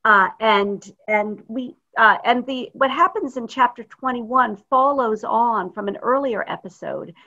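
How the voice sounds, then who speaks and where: A woman's voice: on the high side, measured pace, few pauses, pushed and bright, lo-fi sound. A woman rabbi giving a thoughtful, informal teaching talk over a video call. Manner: thoughtful, searching for words. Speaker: rabbi, guest speaker.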